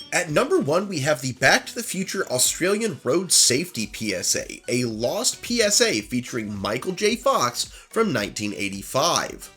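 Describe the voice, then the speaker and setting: A man's voice: mid-range voice, a bit bright. A man hosting a video, reading a scripted commentary in a nasal voice as he recovers from the flu, with earnest, opinionated asides. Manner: earnest, opinionated asides between read passages. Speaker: YouTube host.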